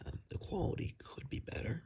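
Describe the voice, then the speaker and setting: A man's voice: brisk, a little mellow. A man whispering casually to viewers as an ASMR creator, self-deprecating, recorded on a phone's built-in microphone. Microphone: phone, no external microphone.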